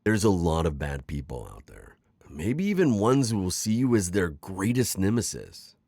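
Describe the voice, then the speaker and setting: Man acting a low, coaxing villain mentor. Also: very animated, darkish tone, dry recording, full character voice. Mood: persuasive, teasing. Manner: coaxing, smooth-talking, chuckling, drawn-out teasing phrasing. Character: suave, manipulative male magical villain mentor. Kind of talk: ASMR roleplay acting, intimate one-sided dialogue.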